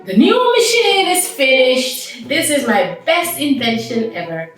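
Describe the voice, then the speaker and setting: Lady doing eager little inventor girl from a storybook, earnest, higher voice, really echoey room. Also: big pitch swings, measured pace, fairly bright tone, faint music behind, heavily acted. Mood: proud, excited. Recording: very echoey room, distant mic.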